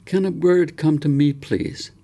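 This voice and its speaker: Storybook girl, read by a man: respectful, formal, awed, voice lifted above his low creaky register. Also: fairly even intonation, measured pace, mellow. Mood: respectful wonder. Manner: respectful, courtly. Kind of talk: storybook character in audiobook.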